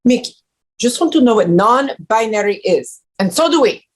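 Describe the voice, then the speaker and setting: A woman's voice: on the high side, expressive, normal speed, natural voice. Woman playing a stern, scolding conservative mother. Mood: defensive. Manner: curt, scolding, disapproving. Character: stern, devout conservative mother, easily offended. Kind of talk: table read of a comedy pilot script.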